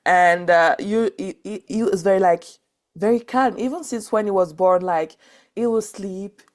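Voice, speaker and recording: A woman from Nigeria hosting a podcast in casual chat, animated, laughing often and restarting her sentences. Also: mid-range voice, normal speed. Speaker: podcast host.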